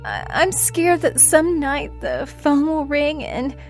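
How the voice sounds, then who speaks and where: A woman acts a devoted young wife in an audio drama. Her fairly high voice is soft and lingers slowly over words, with faint music beneath. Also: wildly swooping pitch, full character voice. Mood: frightened. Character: anxious, devoted, affectionate young wife of a lawyer. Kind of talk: fan audio drama acting. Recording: music under the voice.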